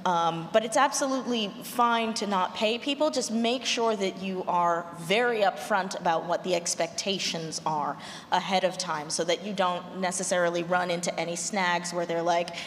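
A woman on a panel giving practical advice in a halting, considered way, recorded in an echoey hall. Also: on the low side. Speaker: panelist, audio drama producer. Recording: echoey noisy hall with room ambience.

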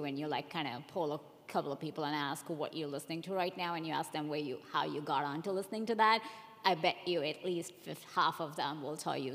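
Low-voiced woman on a panel, reverberant hall. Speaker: panelist, audience research executive. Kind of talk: casual panel discussion.

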